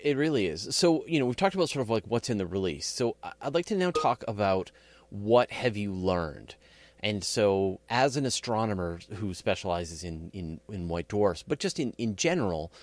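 Eager low-voiced male host, dry sound. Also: no echo. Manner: eager, talkative, adds his own stories. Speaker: interview host. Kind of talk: casual chat.

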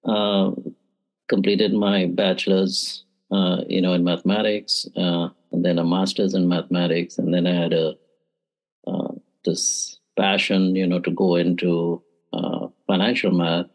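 Deep gravelly-voiced man with many fillers. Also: level tone, unhurried, poor audio. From India. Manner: frequent "uh" and "you know" fillers, thoughtful. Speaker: math education professor, podcast guest. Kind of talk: relaxed interview answers.

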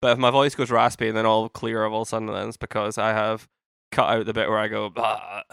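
A man's voice: fairly low pitch, little pitch movement, fast talker, vocal fry, a bit bright, clean tone, no echo, clean studio sound. A man, co-hosting a casual podcast chat and rambling through restarts and fillers. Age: late 20s. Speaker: podcast co-host.

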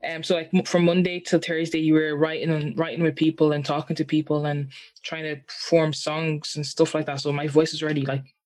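A young man with a somewhat high voice chats freely about his singing life. He tells his story in an animated, rambling way, full of fillers. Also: a little fast. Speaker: singer and guest. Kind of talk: casual chat.

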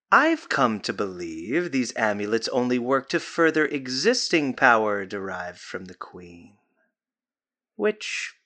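A man's voice: fairly low pitch, sharp tone, heavily acted. A man playing a condescending, secretive doctor in an audio drama, speaking in grandiloquent, patronizing formal phrases.

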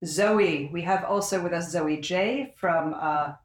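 A man's voice: fairly high pitch, takes it slowly. A man hosting a podcast, welcoming guests with upbeat introductions over a remote call mic. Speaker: podcast host. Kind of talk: casual podcast chat.

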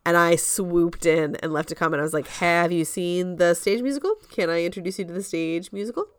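A woman's voice: fairly low pitch, a little fast, clear, resonant tone, dry recording, studio quality. A woman co-hosting a podcast in casual conversation, sharing opinions in an informal, fannish way. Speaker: podcast co-host. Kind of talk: casual chat about musical theatre.

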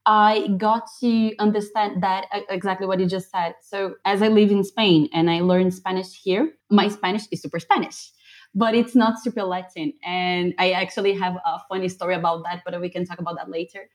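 A woman's voice: medium pitch, steady tone. Brazilian woman, native Portuguese speaker, eager. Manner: eager, quick agreeing interjections. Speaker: guest. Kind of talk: conversational interview.

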